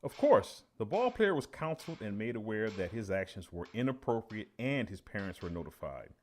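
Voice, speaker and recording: An actor playing an earnest veteran high school teacher giving a measured, official interview in a radio play. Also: male voice, very animated, a little mellow. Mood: official, unruffled.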